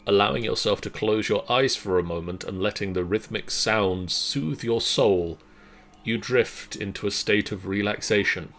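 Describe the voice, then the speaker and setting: A man serving as game master, narrating a story in a measured, dramatic way and then chatting casually. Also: lowish voice, fairly bright tone.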